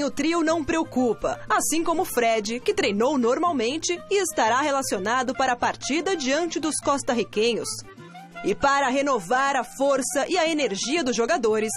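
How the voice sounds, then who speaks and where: A woman with a notably high voice reads a sports news piece in a polished, measured studio voice-over. Soft music plays faintly under her. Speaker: news narrator.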